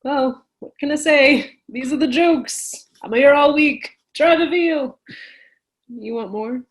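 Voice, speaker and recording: A woman's voice: high voice, slow. A woman playing a sarcastic, supportive friend in a play reading, with dry, joking delivery.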